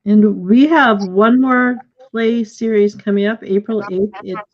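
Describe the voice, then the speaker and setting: A woman casually moderating a live online session as host, speaking informally and a little haltingly over a lo-fi video call. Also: medium pitch, fairly even intonation. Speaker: host of a virtual theater question-and-answer session. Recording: muffled, lo-fi video call audio.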